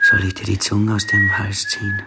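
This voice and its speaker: An actor playing a drunken, boastful military bully in a German radio drama, raspy and threatening. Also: male voice, on the low side, monotone, normal speed, very noisy. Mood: taunting menace. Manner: swaggering, threatening.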